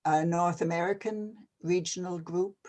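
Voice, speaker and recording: An older person from Canada, talking casually and hesitantly with many pauses and fillers. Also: medium pitch, steady tone, a little mellow. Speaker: retired NGO advisor. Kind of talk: casual video-call conversation.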